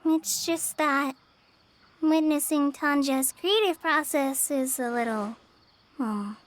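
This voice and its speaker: A woman's voice: high voice, takes it slowly. A woman voicing a shy, anxious young forest ranger in a video game, girlish, hesitant and easily flustered. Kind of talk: video game character acting. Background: background music.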